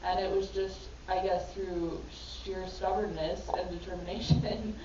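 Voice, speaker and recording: Woman panelist, reflective and earnest, lowish voice, distant dull room recording. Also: a little mellow, a little hiss or hum. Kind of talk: personal story at a panel. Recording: echoey hall from far off, dull.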